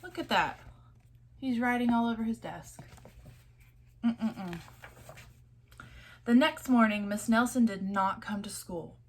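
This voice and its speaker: A woman reads a children's story aloud with expression. Her voice sits a little high and she takes her time, slipping in chatty comments for her young listeners. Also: slow pace, pauses often.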